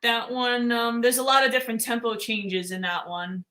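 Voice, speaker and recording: A woman's voice: a little high, moderate pace, bright, forceful tone. A woman with a Long Island accent, a guest musician chatting casually in a live-stream interview, often trailing off and laughing.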